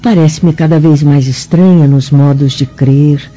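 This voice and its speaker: A woman's voice: deep voice, fairly even intonation, measured pace, mellow, soft background music. An actress playing a proud, disapproving old Roman noblewoman in a radio drama, speaking in a formal, theatrical manner. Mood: measured reproach. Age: older. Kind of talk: dramatic radio play acting. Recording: muffled radio drama recording.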